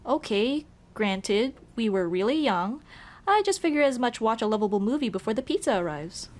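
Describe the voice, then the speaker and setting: A woman's voice: on the high side, sing-song, normal speed. A woman playing a young tomboy childhood friend, casual, teasing and caring, in a scripted ASMR roleplay. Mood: playful. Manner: casual, teasing, addresses listener as dude. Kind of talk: ASMR roleplay, scripted one-sided conversation.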